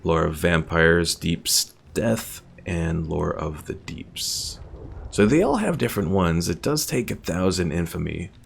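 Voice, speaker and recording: A man's voice: low-pitched, lively intonation, measured pace, vocal fry, husky. A man giving casual gameplay commentary as a streamer, musing and thinking aloud as he goes. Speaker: game streamer.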